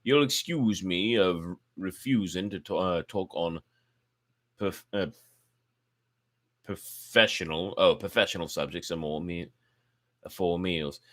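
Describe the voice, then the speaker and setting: A man voicing a gruff, blunt elderly male zookeeper, loud and hearty and stumbling over dialect words. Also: low voice, slow pace, long pauses. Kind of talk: amateur audiobook reading.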